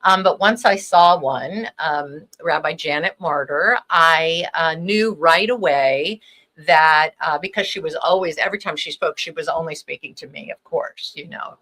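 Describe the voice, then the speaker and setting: Panel guest: bright, low-pitched woman rabbi, unhurried and reflective. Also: low-quality recording. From Los Angeles. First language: Hebrew. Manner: relaxed, thoughtful, frequent ums. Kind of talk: conversational storytelling.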